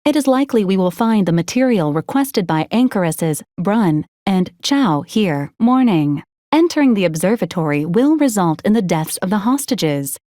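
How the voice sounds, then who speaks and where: An actress plays an unflappable female robot assistant from a video game, with a mid-pitched voice. She is formal and courteous, delivering clipped, deadpan advisories in a very dry, close recording. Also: moderate pace, hardly any pauses, no room sound, natural voice. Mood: calm, deadpan. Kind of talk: scripted video game character lines.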